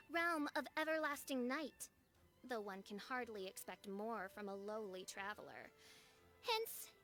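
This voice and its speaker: An actress voicing a pompous young princess in a video game, declaiming in flowery, archaic speech. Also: female voice, a little high, sharp tone. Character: grandiose theatrical young princess girl with lofty archaic speech.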